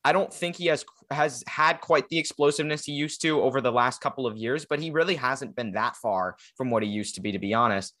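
A man's voice: medium pitch, fairly quick, hardly any pauses, sharp tone. A young man hosting a sports podcast in a casual, rambling chat with a guest. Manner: rambling, filler-heavy, conversational. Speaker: podcast host.

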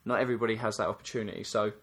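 Low-voiced man playfully co-hosting. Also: racing, husky. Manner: playful, teasing; explanatory, steady when giving advice. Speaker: youth soccer coach and podcast co-host. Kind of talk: casual advice chat.

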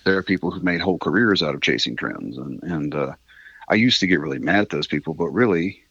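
Very deep, husky middle-aged man. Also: lively intonation, fairly quick, fairly bright tone, dry recording, lo-fi sound. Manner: candid, rambling, mildly profane. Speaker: interview guest, independent musician. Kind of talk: casual conversational interview.

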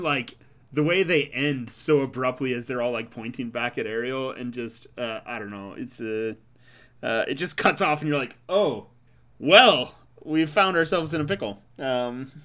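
A man in casual podcast chat who rambles with enthusiasm and fills his talk with "you know" and "uh". His voice sits at an ordinary middle pitch. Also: normal speed, a bit bright. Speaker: podcast co-host.

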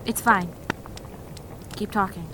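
A woman acting in an audio drama as a guarded, blunt survivor who is gruffly tolerant. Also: medium pitch, slow pace, bright, forceful tone, a little hiss or hum, poor audio. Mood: grudgingly tolerant.

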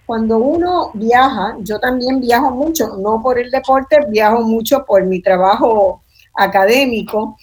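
A woman's voice: fairly high pitch, measured pace, a little mellow, poor audio. An older woman with a Puerto Rican accent, hosting a panel discussion in a reflective way and often adding "¿verdad?". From Puerto Rico. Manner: thoughtful, reflective, tags sentences with "¿verdad?". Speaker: host.

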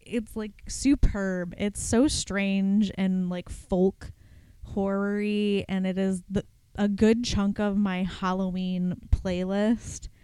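A woman's voice: medium pitch, steady tone, takes it slowly, clean tone, no room sound. A young woman co-hosting a podcast, chatting casually with wry asides and rambling tangents. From Ohio. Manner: rambling, wry, breaks into sudden loud outbursts. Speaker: podcast co-host. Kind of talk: casual chat.

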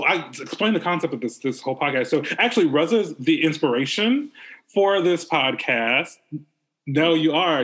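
A man's voice: a little high, fairly bright tone. A man hosting a casual podcast chat, talking in a rambling, upbeat way with lots of fillers. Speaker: podcast host.

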